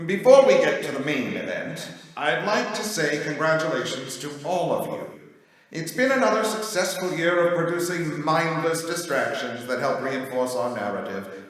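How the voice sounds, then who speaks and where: An actor playing a smug, pompous, theatrical reptilian leader giving a ceremonial speech in an audio drama. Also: male voice, fairly high pitch, a bit bright. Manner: pompous, showy. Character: smug, theatrical male reptilian overlord addressing his gathering. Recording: very echoey hall, distant mic, crowd.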